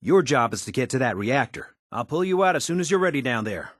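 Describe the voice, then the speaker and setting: An actor playing a folksy, wisecracking American tinkerer in a video game, talking in casual slang. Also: male voice, mid-range voice, lively intonation, fast, no echo, clean studio sound, natural voice. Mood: helpful, easygoing. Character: folksy, wisecracking male tinkerer and scrappy settler ally.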